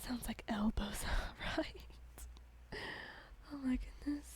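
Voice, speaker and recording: Woman whispering asmr style, slow and soft, kinda high pitched, little giggles. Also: husky, rough recording. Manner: slow, gentle reading. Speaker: ASMR host.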